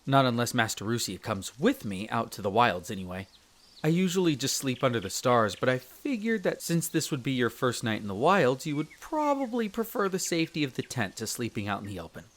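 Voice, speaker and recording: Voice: mid-range voice, a little fast, natural voice. A performer playing a young male elf explorer, a chatty, friendly guide speaking to the listener in an audio roleplay. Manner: expressive, chatty, stammers when flustered.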